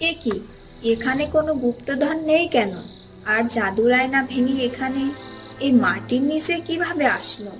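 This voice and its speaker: A woman acts out an eager, coaxing village wife in a cartoon fable. Her voice is on the high side and persuasive, with music clearly playing behind it. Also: expressive, moderate pace. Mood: shocked. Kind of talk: Bengali cartoon moral-story voice acting.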